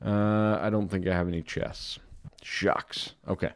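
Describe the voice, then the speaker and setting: Young guy with a deep voice, kinda dry throat, laid-back chatty game commentary. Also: measured pace, clean tone, no echo. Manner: relaxed, chatty, talks to viewers while playing. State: slightly dry, scratchy throat. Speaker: gaming video host. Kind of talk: casual Minecraft modpack commentary.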